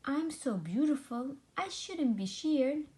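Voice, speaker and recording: A woman's voice: high voice, expressive, takes it slowly, darkish tone, pitched above the performer's own voice. A woman voicing a vain, sneaky male sheep from a storybook, with sing-song, self-admiring lines. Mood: smug, dreamy.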